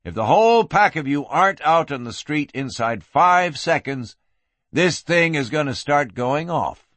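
A man's voice: fairly high pitch, expressive, a little slow, fairly bright tone, no room sound. A man voicing a stern, unyielding lawman in an audiobook Western, giving curt, commanding orders.

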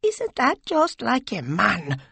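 Distraught vengeful woman, audiobook character, high voice, urgent, furious, wailing. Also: wildly swooping pitch, takes it slowly, creaky, fairly bright tone, husky, dry recording, exaggerated voice. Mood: wailing rage. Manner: urgent, wailing, snarling, swinging from whispers to cries.

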